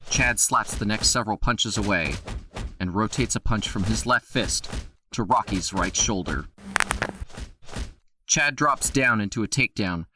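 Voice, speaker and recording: A man narrating the action of an audio drama in a steady, matter-of-fact way. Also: fairly low pitch, measured pace, pauses often, noisy background.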